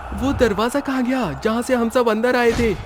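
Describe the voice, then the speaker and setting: A man's voice: very high voice, fairly even intonation, moderate pace, a little hiss or hum. An actor playing a frightened young man in a horror story, speaking in an urgent, exclamatory way. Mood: alarmed. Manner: exclamatory, urgent, panicky delivery. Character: frightened young man trapped in a haunted maze. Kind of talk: dramatised horror story acting. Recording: music under the voice.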